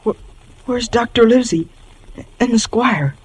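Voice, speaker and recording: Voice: medium pitch, animated, measured pace, husky. A young performer playing a brave, frightened boy adventurer in an old radio drama, speaking earnestly and urgently. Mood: weak, earnest. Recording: noisy vintage radio broadcast.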